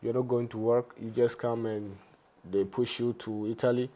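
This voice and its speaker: A man interviewing in a casual, conversational way, agreeable and quick to affirm. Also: medium pitch, little pitch movement, dark tone. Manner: agreeable, frequent backchannels.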